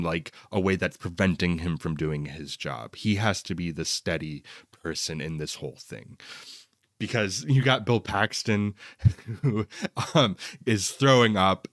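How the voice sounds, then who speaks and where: Man, low pitch, dry sound, casual podcast co-host, conversational, rambling. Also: no echo. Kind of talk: casual film discussion.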